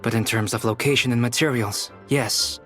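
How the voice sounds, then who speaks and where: A man voicing a calm, polite young scholar in an anime game, measured, understated and quietly confident. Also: medium pitch, faint music behind, lightly acted. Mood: composed.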